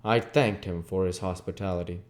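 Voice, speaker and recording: A man's voice: sits low, steady tone, normal speed. A man reading a classic novel aloud as narration, occasionally stumbling over a line. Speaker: reader of a video book series.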